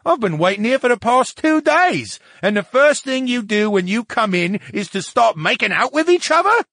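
A man plays a boisterous disembodied brain from an audiobook, indignant and needy. The voice is pushed up high and swings animatedly through theatrical complaints. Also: big pitch swings, moderate pace, a bit bright, no room sound, studio quality, heavily acted. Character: grumbling, needy, boisterous talking brain in a tank. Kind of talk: audiobook character dialogue.

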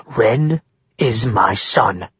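A man's voice: mid-range voice, animated, measured pace, fairly bright tone, slightly rough, no echo, highs cut off, full character voice. A man voicing a stern, powerful father who speaks coldly and growls, in an audiobook reading. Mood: cold anger. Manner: cold and emotionless, growling.

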